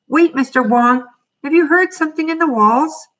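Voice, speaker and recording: A narrator voicing a small excitable boy, hopeful and insistent, in a children's audiobook style. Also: medium pitch, normal speed, breathy edge, far from the mic, pitched above the performer's own voice. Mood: eager, hopeful. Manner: eager, calling out, insistent.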